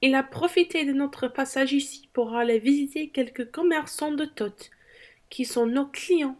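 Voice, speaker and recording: A woman's voice: high voice, unhurried, a little mellow. A woman playing a snippy merchant's wife in an amateur radio play. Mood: matter-of-fact.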